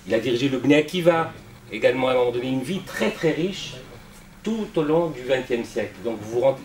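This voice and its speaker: A man's voice: mid-range voice, a little hiss or hum. Man introducing a speaker, echoey hall. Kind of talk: formal introduction.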